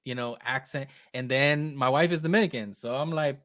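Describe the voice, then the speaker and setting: A percussionist in a casual live video chat, talking in an animated, fast way and now and then slipping into Spanish phrases. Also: male voice, medium pitch, no room sound. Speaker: percussionist guest.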